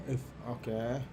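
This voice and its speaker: A man's voice: medium pitch, background noise. A man streaming a game, thinking aloud in a hesitant, muttering way as he plays. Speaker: live streamer. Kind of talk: casual gameplay commentary. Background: faint game music.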